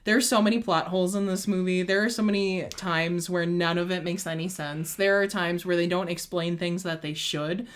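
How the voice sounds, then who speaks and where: A woman co-hosting a casual podcast chat, enthusiastic and opinionated, breaking into excited bursts. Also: on the low side, moderate pace, few pauses. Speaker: podcast co-host.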